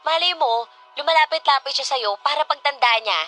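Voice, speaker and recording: Bright-voiced headstrong, suspicious daughter. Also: female voice, sits high, a little fast, faint music behind. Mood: indignant, suspicious. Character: headstrong, suspicious adult daughter objecting to mother's wedding. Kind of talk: Tagalog radio drama acting.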